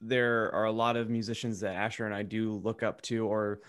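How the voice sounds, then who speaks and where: Low-voiced man in halting casual talk. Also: flat delivery, fairly bright tone. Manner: informal, halting, self-deprecating. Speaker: podcast co-host. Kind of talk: casual panel discussion.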